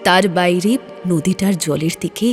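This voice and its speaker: A woman playing a poet's teasing sweetheart in an audio drama, gently chiding and playful. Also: lowish voice, moderate pace, lightly acted. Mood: reflective, gently chiding. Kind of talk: Bengali audio drama dialogue. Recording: music under the voice. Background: background music.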